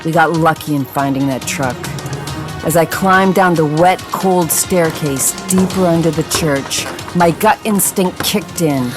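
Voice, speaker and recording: An actress playing a tough, protective police detective in a hard-boiled audio drama. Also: female voice, low voice, measured pace, music in the background. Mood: brooding.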